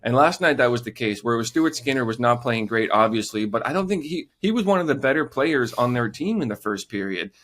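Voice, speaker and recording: Man, podcast co-host, easygoing, casual chat, medium pitch. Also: expressive, fairly quick, few pauses, a bit bright. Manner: easygoing, laughs as he talks.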